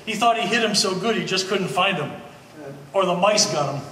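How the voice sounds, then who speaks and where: Actor as amiable former hippie man, middle-aged, hesitant speech, slightly high voice, live theater, roomy distant sound. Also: animated, fairly quick, bright, forceful tone. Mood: puzzled searching. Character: easygoing middle-aged former hippie, old family friend. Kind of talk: live one-act stage acting. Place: art gallery stage reading. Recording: echoey hall, distant mic, faint noise.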